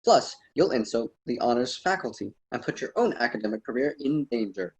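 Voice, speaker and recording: Husky guy doing a wise old-world poet mentor, formal and lecturey, radio play. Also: mid-range voice, animated, poor audio. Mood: firm advising. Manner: formal, measured, didactic. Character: wise, wordy ancient poet mentoring a student. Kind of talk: amateur student radio drama acting.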